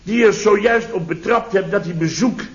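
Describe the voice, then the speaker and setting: Man acting middle-aged male contractor, higher-pitched, blustering, boastful, repetitive, indignant, 1970s radio comedy, muffled old recording. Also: normal speed, natural voice. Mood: exasperated. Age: 50s. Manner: repeats himself, exclaims, self-important. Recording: dull vintage radio recording.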